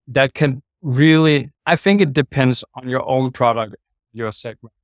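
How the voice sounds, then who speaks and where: A man's voice: mid-range voice, clear, resonant tone, no echo. A man with a Danish accent, a sales manager giving direct, plain-spoken answers as an interview guest over a video call. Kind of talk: casual interview talk.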